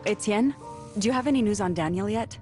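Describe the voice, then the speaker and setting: A woman's voice: mid-range voice, fairly quick, music in the background, close to an everyday voice. An actress playing a caring, anxious but determined woman in conversational game cutscene dialogue. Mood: concerned.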